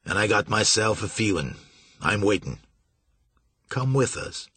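A stubborn riverboat captain, as a narrator voices him barks blunt orders in folksy speech. The voice is husky and mid-pitched. It sits dry and close. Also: male voice, lively intonation, unhurried, sharp tone, no echo, full character voice.

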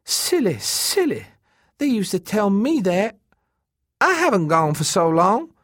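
A man's voice: sits high, sing-song, measured pace, a bit bright, no room sound, clean studio sound, voice pushed up, full character voice. A man voicing a faded, needy, tearful woman with a thin, plaintive voice in an audiobook story. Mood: wistful, coaxing.

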